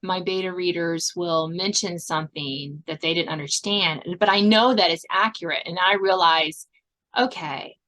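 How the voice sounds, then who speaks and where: A woman's voice: lowish voice, moderate pace, sharp tone, rough recording. An American woman from Virginia hosting a podcast, chatting casually in an encouraging, talkative way. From southern Virginia, United States. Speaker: podcast host. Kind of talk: casual interview chat.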